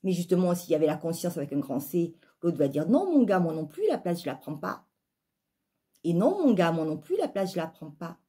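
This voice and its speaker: A woman's voice: lowish voice, big pitch swings, a little fast, dark tone, husky. A woman with a southern French accent giving an opinionated, emphatic monologue to viewers, leaning on rhetorical questions and repetition. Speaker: life coach and spiritual vlogger.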